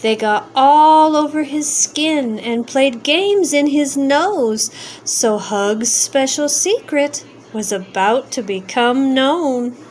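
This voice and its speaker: Female storybook reader, high pitch, unhurried and sing-song, expressive rhymes for children. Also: a little hiss or hum.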